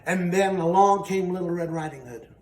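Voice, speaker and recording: A man telling a children's story in an animated way, haltingly, repeating phrases for emphasis. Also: sits high. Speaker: storyteller and show host. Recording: roomy, distant, faintly noisy.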